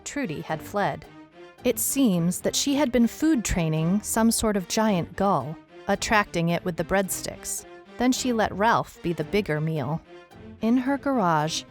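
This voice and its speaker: A woman's voice: lowish voice, darkish tone. A woman playing a wry detective who recounts a strange case in a dry, storytelling way, as scripted audio drama narration.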